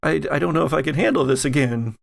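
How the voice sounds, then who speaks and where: A man voicing an anxious woman who pleads haltingly with her beloved in a tabletop game. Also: on the high side, gravelly, pitched above the performer's own voice. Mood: anxious, pleading.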